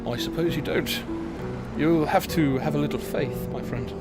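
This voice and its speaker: An actor with a British accent playing a mysterious, polite time-travel guide in a formal, measured audio drama style. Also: male voice, fairly high pitch, loud music behind the voice, natural voice. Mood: calm, knowing. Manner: formal, measured, gently reproachful.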